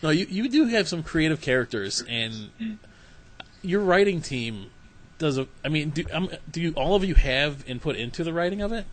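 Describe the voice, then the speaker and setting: A man's voice: on the high side, expressive, moderate pace, rough recording. A man hosting a podcast interview, chatting casually and rambling, often repeating words. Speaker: podcast host.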